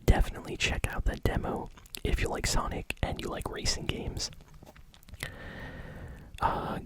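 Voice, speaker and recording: A woman whispering softly in a casual ASMR style as she hosts a video game news update. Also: low-quality recording. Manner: soft, unvoiced whispering throughout.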